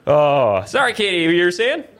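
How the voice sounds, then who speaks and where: Male gaming podcast host, animated higher-set voice, casual and excitable banter. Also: moderate pace. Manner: excitable, exclaiming at the game. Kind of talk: casual chat while playing video games with friends.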